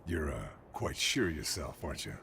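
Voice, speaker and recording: An actor playing a stoic, hard-bitten Second World War lieutenant in a video game, speaking in a terse, understated manner. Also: male voice, very deep voice, sing-song, normal speed, creaky, slight background noise, exaggerated voice. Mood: cold, suspicious. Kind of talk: cinematic game narration and dialogue. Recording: loud music behind.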